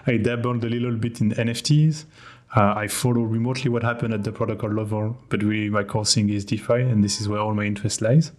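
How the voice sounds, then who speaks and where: A man's voice: fairly low pitch, steady tone, a little mellow. French-accented man, candid and wry. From France. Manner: candid, self-deprecating, quick laughs. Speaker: podcast guest. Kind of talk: casual podcast interview.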